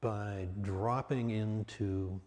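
A man leading a guided meditation as a teacher, speaking calmly and off the cuff in short, measured phrases with long pauses. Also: on the low side. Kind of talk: guided meditation, spoken slowly and unscripted. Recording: long reverberant hall sound.

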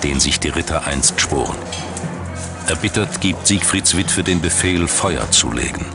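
Very deep-voiced male documentary narrator. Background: background music.

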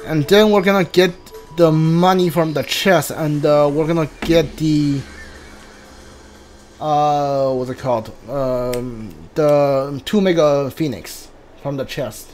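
A game streamer giving casual playthrough commentary, thinking aloud in a halting way. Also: mid-range voice, darkish tone. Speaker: gameplay streamer. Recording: game music behind the voice. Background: video game music and sounds.

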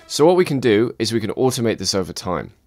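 A man calmly walking through a music production software tutorial, step by step. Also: on the low side, fast talker. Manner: calm step-by-step explaining. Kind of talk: screen-recorded software tutorial.

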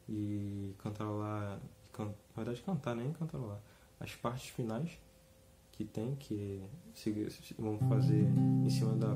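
Man with a cold, mellow voice. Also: mid-range voice, slight background noise. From Brazil. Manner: rambling, relaxed, many fillers and restarts. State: slightly hoarse from a cold. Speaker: guitar teacher. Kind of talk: casual video lesson. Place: small room indoors, seated with an acoustic guitar.

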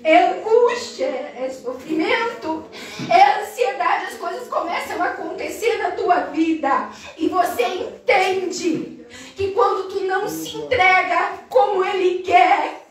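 A young Brazilian woman preaching a Pentecostal sermon in a sing-song, fervent cadence that climbs to shouts, recorded from far across a large tiled church hall. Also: extremely high pitch, animated, slow pace. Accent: Brazilian Portuguese. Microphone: far camera across the hall.

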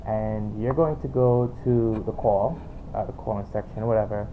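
A man with a Trinidadian accent giving an informal, halting software tutorial, explaining off the cuff and repeating himself. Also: lowish voice. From Trinidad and Tobago. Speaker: tutorial presenter. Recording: tinny phone-like home recording, background noise.

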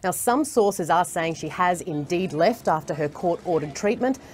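A woman's voice: on the low side, animated, normal speed. An Australian woman in her thirties delivering a television news report as a correspondent. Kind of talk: live news report and scripted narration. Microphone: lapel mic.

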